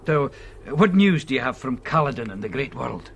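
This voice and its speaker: An actor playing a modest, earnest young Scottish minister in a radio drama, speaking politely with some hesitation. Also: male voice, mid-range voice, sing-song, moderate pace, vocal fry, slight background noise. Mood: modest, courteous. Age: young adult.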